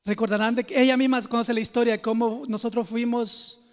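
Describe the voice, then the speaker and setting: A Salvadoran man preaches in a very high-pitched voice, with long reverb trailing his words. He tells personal stories like a pastor confiding in his listeners. His delivery is informal and direct, closer to conversation than oratory. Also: level tone, a little fast, a little mellow. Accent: Salvadoran Spanish. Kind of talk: conversational sermon with personal storytelling.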